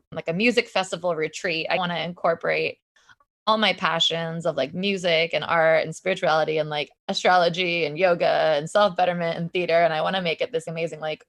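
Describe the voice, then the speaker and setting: Lively woman singer with lower voice. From Puerto Rico. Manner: enthusiastic, many "like" fillers, occasional restarts. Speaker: singer and bandleader, podcast guest. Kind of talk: casual interview chat.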